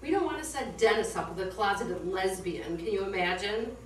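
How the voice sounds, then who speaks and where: A woman's voice: medium pitch, fairly quick, natural voice. A woman playing a restless, playful young wife who resists growing up, in an amateur stage comedy. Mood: restless complaint. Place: small theatre, distant microphone. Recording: echoey stage recording from far off, noisy.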